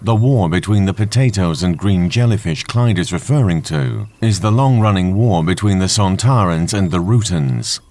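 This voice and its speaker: A man's voice: deep voice, normal speed, hardly any pauses, a little mellow, natural voice. A man playing a polite supercomputer with dry sarcasm in an audio drama, formal and matter-of-fact. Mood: helpful.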